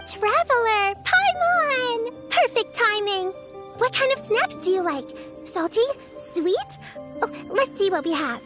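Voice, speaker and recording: Caring, cheerful little girl for a game: sweet, dutiful, very high, lively. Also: takes it slowly, heavily acted. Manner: encouraging, gently fussing. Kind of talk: video game voice acting. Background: faint music.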